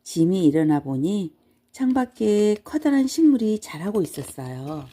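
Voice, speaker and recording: A woman's voice: medium pitch, very animated, measured pace, mellow. A woman narrating a children's bedtime storybook from a script. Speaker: storybook reader.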